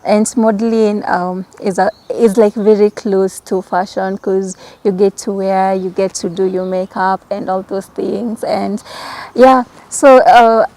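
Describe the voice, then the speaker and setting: Young Kenyan woman, fairly flat intonation. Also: medium pitch, measured pace. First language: Kikamba. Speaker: interview guest. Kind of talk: casual personal talk.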